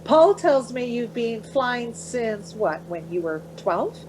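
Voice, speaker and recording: An actress playing a lighthearted, playfully teasing middle-aged wife and mother in a naturalistic radio play, with a husky voice. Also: a little high, big pitch swings, takes it slowly, darkish tone, slight background noise, rough recording. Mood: curious, relaxed.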